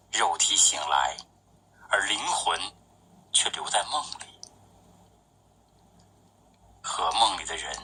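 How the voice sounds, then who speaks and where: A man reading his own poetry as a literary recitation, with measured, deliberate phrasing. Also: low voice, sharp tone, slightly rough, poor audio.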